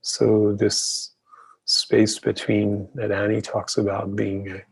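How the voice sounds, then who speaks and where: Hesitant gravelly man, slow and husky. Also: lowish voice, monotone, low-quality recording. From Iran. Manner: hesitant, halting with many fillers. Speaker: artist. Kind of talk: panel conversation.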